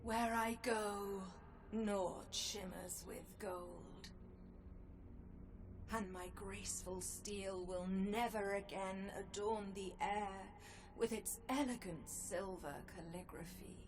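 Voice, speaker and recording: A proud and elegant swordswoman from a dark video game. She speaks very slowly, savoring ornate phrases with theatrical weight. Clear ambient noise sits behind her medium-pitched voice. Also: fairly even intonation. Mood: solemn, proud. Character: proud, elegant swordswoman, eldest sister of embroiderers. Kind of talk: dramatic video game voice acting.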